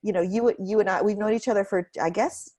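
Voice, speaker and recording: A woman's voice: medium pitch, quick pace, mellow. A woman hosting a casual, heartfelt video-call conversation from home, informal and earnest, repeating phrases for emphasis. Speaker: host.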